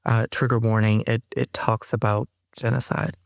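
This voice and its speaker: A woman's voice: very deep voice, flat delivery, moderate pace, gravelly, a little mellow, no echo, clean studio sound. A woman guest in an interview, speaking in a thoughtful, searching way full of "you know" and "sort of". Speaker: poet and playwright guest. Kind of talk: interview chat, then spoken-word poem.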